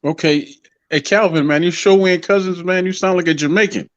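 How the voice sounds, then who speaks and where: A man's voice: sits high, fairly quick. A man hosting a boxing interview show, asking questions in a casual, friendly way and calling his guest brother. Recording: dull lo-fi audio.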